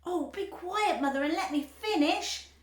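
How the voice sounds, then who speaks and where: A woman's voice: very high voice, expressive, a little slow, pitched above the performer's own voice. A woman voicing a boastful, spoiled girl who gushes smugly and snaps rudely, in a storybook reading. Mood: snappy. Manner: smug, gushing, rude. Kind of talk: storybook read-aloud. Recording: echoey room.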